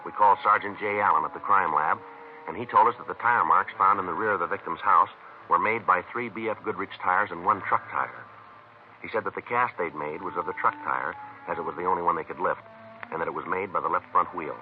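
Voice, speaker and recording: An actor playing a dry, methodical American police sergeant in an old radio crime drama, clipped, formal and businesslike. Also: male voice, sits low, fairly quick, natural voice. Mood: deadpan narration. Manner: deadpan, terse, formal. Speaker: police detective, lead and narrator. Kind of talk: 1950s radio acting and clipped narration. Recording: noisy vintage radio recording, narrow band.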